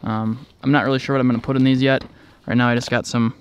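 Male vlogger, clean smooth voice, informal off-the-cuff walkaround delivery recorded outdoors. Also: medium pitch, little pitch movement, fast, dull audio. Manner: rambling, informal. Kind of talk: casual walkaround narration. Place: outdoors in a driveway beside a truck.

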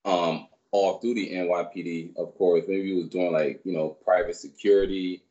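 A man in his thirties from Barbados, raised in New York, telling his story as a guest in a relaxed, rambling way on a video call. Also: low voice, moderate pace, dull audio. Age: 30s. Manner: relaxed, rambling, many fillers. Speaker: guest, personal trainer. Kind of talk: casual storytelling interview. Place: small room indoors. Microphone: video call webcam.

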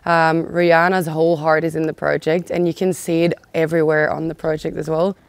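Even, low-pitched young woman. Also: fairly quick, no room sound. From Belgium. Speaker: volunteer coordinator. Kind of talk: documentary interview. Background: music.

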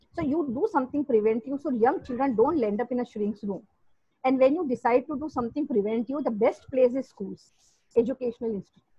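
A woman's voice: on the high side, husky, no room sound. A middle-aged woman from India with an Indian English accent, a psychologist turned educator telling her story with earnest emphasis on a video call. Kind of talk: panel discussion remarks. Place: home room, indoors. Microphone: laptop webcam on video call. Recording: muffled video call audio.

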